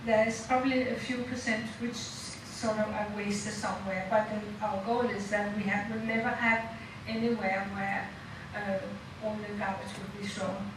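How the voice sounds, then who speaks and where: A woman with a Danish accent speaking as a panelist in an informal talk, halting and full of fillers. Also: mid-range voice, level tone. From Denmark. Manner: halting, with many fillers and soft laughs. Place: lecture room. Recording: echoey room from a distant mic, noisy.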